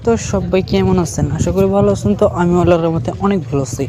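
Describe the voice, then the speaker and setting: A man's voice: high voice, quick pace. A man hosting a video channel with a scripted greeting, on a noisy home-made recording.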